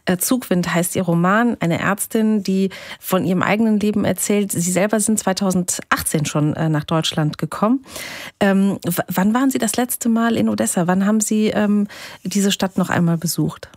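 A woman radio host leading an interview in a friendly, conversational way, with a few hesitations. Her voice sits in a middle pitch. The studio sound is close and dry. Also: moderate pace, a little mellow, dry recording. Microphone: studio microphone.

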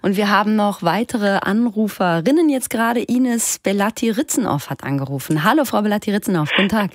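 A woman hosting a radio call-in show, moderating callers and guests in a friendly, brisk manner. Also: medium pitch, lively intonation, dry recording, clean studio sound. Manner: friendly, brisk, lots of affirming mhm. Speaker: radio host.

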